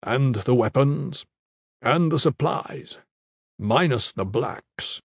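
A man voicing a stern, curt commander of an elite guard in an audiobook story, with a gruff, gravelly voice. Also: medium pitch, expressive, takes it slowly, no echo, clean studio sound, heavily acted. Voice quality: gravelly, husky.

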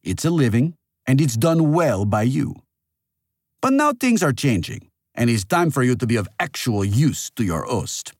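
Man voicing a theatrical, lecturing old monarch, wildly expressive. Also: mid-range voice, normal speed, no echo, clean studio sound, pitched above the performer's own voice. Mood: emphatic, lecturing. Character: forceful, pompous male artificial monarch, centuries old, morally certain.

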